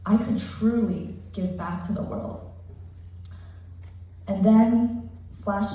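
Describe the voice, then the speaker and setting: A woman's voice: mid-range voice, mellow. A young woman giving an earnest, rehearsed motivational speech at a conference, stressing her points in rhetorical lists. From United States, immigrated at seven. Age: young adult. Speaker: conference speaker. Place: large conference hall. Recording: echoey hall from far off.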